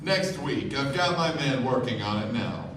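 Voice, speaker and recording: A man plays a domineering, hearty rich husband in a radio drama. His commanding voice moves widely in pitch, recorded at a distance on an echoey stage. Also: lively intonation, moderate pace, noisy background, close to an everyday voice. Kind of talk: live radio play acting.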